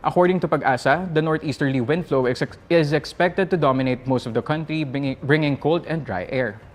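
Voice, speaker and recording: A man with a Filipino accent presenting a scripted news roundup in a formal, measured way. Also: fairly high pitch.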